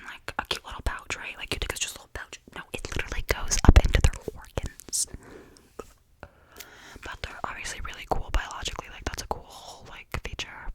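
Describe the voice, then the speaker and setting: A young woman from Canada, whispering to a close mic as she rambles casually with many fillers and restarts, in the style of ASMR. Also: low-quality recording. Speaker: ASMR creator.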